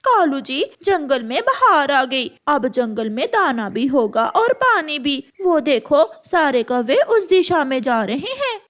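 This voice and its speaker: A woman's voice: sits high, big pitch swings, takes it slowly, few pauses, fairly bright tone, heavily acted. A woman voicing a chatty, cheerful crow wife in a cartoon, chirpy and coaxing. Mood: excited.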